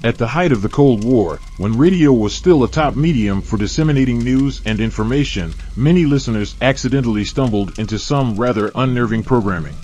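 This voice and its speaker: A man's voice: medium pitch, expressive, fairly quick, few pauses, darkish tone, a little hiss or hum. A man reading scripted documentary narration about eerie mysteries.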